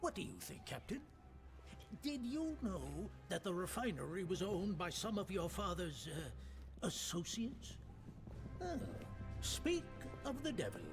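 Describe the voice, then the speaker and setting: A man's voice: high voice, huge pitch swings, a little slow, heavily acted. An actor playing a pushy, needling newspaperman in a game cutscene, sardonic and theatrical. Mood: pointed, sardonic. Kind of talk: dramatic game cutscene acting. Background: music.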